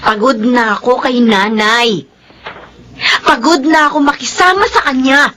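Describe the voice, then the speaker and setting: Very high-voiced aggrieved wife. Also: female voice, a little slow, pushed and bright, slight background noise. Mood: weary, bitter. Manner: plaintive, emotional complaining. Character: aggrieved middle-aged wife and mother, resentful, long-suffering. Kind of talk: Filipino radio drama acting.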